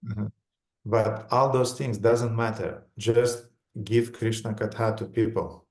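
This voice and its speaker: A man with a Romanian accent giving a religious lecture in short phrases, pausing for an interpreter. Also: lowish voice, steady tone, a little mellow.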